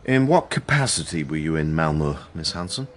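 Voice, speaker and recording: An actor playing a sober, methodical senior police investigator in a radio drama, measured and dryly polite. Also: male voice, rumbling low, very animated. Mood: formal.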